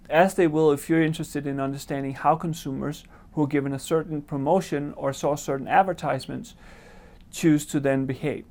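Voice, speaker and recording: A man from Denmark with a Danish accent, a marketing professor giving a prepared course introduction in a measured, explanatory way. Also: on the high side, vocal fry, darkish tone.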